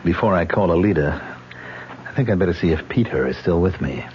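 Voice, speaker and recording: A man's voice: sits low, lively intonation, brisk. An actor playing a haunted, bitter male actor who talks in a sardonic, defensive way. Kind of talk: 1970s radio drama acting. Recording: dull old radio broadcast with faint hiss.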